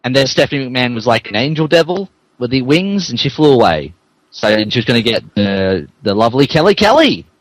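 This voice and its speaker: A man's voice: mid-range voice, big pitch swings, a little fast, no echo, low-quality recording. A man hosting a podcast and narrating a story, joking and mock-earnest, shifting between casual chat and dramatic reading.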